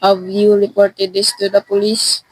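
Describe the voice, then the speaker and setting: Young woman as a formal, husky-voiced telephone operator. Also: medium pitch, monotone, dry recording, natural voice, rough recording. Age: teenager. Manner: measured, polite, procedural. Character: formal, unhelpful female telephone chief operator. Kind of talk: amateur student radio drama acting.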